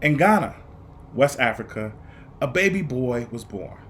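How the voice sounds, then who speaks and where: A man reading a children's picture book aloud, phrasing it dramatically and deliberately with emphatic pauses. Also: mid-range voice, expressive, fairly bright tone, slight background noise. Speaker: storybook reader.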